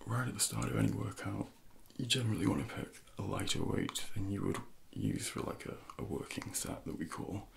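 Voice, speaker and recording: A man in his thirties speaking in a soft, breathy near-whisper, gently and slowly coaching a workout as an ASMR personal trainer. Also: deep voice, very animated, slight background noise. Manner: slow, gentle, hushed coaching.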